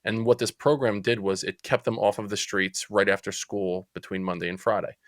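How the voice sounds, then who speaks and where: A man in his forties with a New Jersey accent, telling stories as a podcast guest in a chatty, lively way. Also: fairly low pitch, normal speed, no echo, studio quality. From Central New Jersey. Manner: chatty, animated storyteller. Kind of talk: casual storytelling interview.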